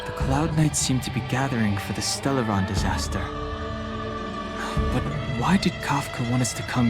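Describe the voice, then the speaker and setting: A man performs a reserved young exile in a fantasy game, speaking slowly and seriously at a middling pitch. His delivery is terse and inward-looking, with loud music behind it. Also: close to an everyday voice. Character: brooding, reserved young male exiled wanderer. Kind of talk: English video game voice acting.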